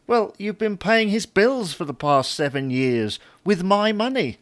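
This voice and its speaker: Man acting an exasperated husband, animated and swooping. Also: high-pitched, a little slow, dry recording. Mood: indignant. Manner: indignant, sarcastic bickering. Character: exasperated, put-upon husband in a domestic squabble. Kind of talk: comedy audio drama dialogue.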